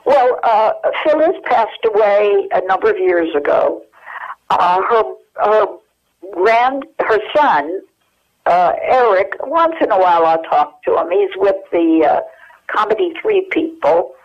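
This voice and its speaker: A woman's voice: medium pitch, big pitch swings, measured pace. An older woman, a guest on the phone, recalling family stories in a rambling way with many fillers. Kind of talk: telephone interview. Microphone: telephone. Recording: muffled telephone line.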